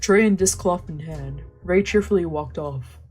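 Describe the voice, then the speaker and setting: A woman's voice: lowish voice, normal speed, a little mellow, slightly rough, faint music behind. A woman narrating a visual novel aloud as a let's play, with casual, slangy asides.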